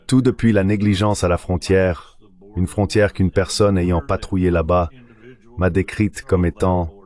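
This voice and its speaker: A man's voice: low-pitched, steady tone, measured pace, darkish tone. A man's synthetic dubbing voice delivering translated political commentary in a discussion.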